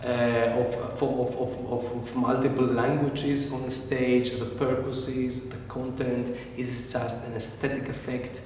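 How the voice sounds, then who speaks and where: A man who is not a native English speaker, speaking thoughtfully about theater on a panel, halting with many fillers and repeats. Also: medium pitch, level tone, darkish tone, a little hiss or hum. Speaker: theater maker. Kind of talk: panel discussion. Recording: echoey hall, far from mic.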